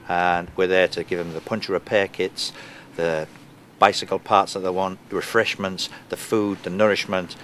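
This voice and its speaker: A man's voice: deep voice, fairly bright tone, slight background noise. A man in his thirties, a soldier on the support crew, speaking formally outdoors. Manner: formal, listing. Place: outdoors on a base.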